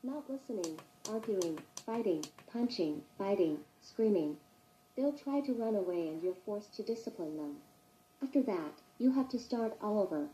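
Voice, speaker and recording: A woman playing a sinister, self-righteous caretaker in a horror monologue, preachy and measured, in a muffled echoey recording. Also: medium pitch, expressive, mellow, a little hiss or hum, lightly acted. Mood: cold, resentful.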